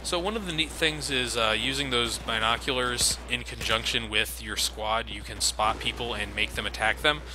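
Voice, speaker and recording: A man's voice: medium pitch, normal speed, hardly any pauses. A man giving casual let's play commentary, sarcastic, self-deprecating and freely swearing. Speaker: video game commentator. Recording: game combat noise behind voice. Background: video game gunfire and vehicles.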